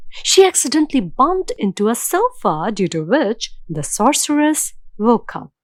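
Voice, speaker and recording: A woman narrating a scripted children's fairy tale in a measured, dramatic storytelling manner. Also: high voice, no room sound.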